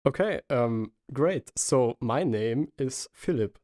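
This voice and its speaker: A man's voice: medium pitch, expressive, slow, darkish tone. A man from Austria with an Austrian accent, a native German speaker, hosting a casual film podcast and rambling with many fillers. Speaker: podcast host.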